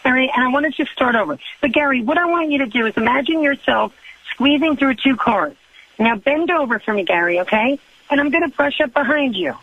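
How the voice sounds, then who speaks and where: A high-pitched, brash woman played by a female prank caller airs an indignant complaint over a dull phone line. She rambles, interrupts and pushes, with flirty pet names thrown in. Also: animated, fairly quick. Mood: brash, overbearing. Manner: rambling, interrupting, pushy, calls listener sweetheart. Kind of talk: improvised prank phone call.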